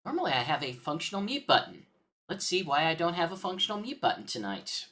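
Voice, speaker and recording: A woman's voice: lowish voice, sing-song, quick pace, a bit bright. A woman hosting a casual livestream, half talking to herself as she works through things and half chatting to viewers. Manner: muttering to self while troubleshooting. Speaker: livestream host.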